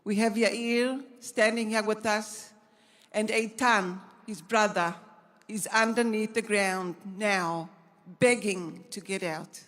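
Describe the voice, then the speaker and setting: A woman's voice: mid-range voice, steady tone, slow pace, a bit bright. An older woman giving an emotional speech of thanks, pleading and repeating her words. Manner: pleading, repetitive. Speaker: hostage's wife giving a speech. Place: large banquet hall.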